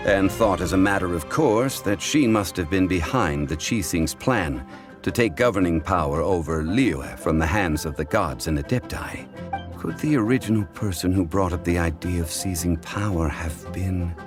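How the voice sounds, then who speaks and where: An actor playing a calm, dignified, erudite ancient god in human form, speaking formally and with measured eloquence in a game cutscene. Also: male voice, low-pitched. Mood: measured, pondering. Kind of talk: scripted game cutscene dialogue. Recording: background music under the dialogue.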